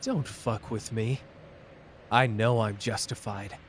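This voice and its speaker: A man's voice: on the low side, steady tone, slow pace, a little hiss or hum. A man playing a fierce, protective young sorcerer who feels betrayed and is in love, in an emotional, intimate anime roleplay addressed to the listener. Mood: hurt, angry. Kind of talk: dramatic ASMR character roleplay.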